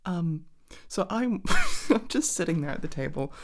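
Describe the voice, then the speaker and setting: A young woman speaks in a low, soft voice that sometimes drops to a near-whisper. She rambles in casual ASMR style as if chatting to her partner, doubling back on her own sentences and swearing offhandedly. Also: expressive, measured pace. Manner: hesitant, rambling, self-interrupting, casually sweary. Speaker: ASMR roleplay performer playing a girlfriend.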